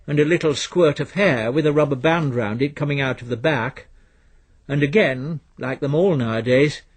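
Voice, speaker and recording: A man reading a literary story as narrator in a measured, dry storytelling manner. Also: fairly high pitch, slightly rough. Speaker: narrator, reader.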